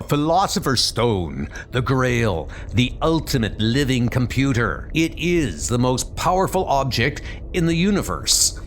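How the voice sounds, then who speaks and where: A man's voice: medium pitch, big pitch swings, unhurried, slight background noise. A man voicing a portentous, urgent cosmic god who declaims warnings in a comic book dub. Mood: grave urgency.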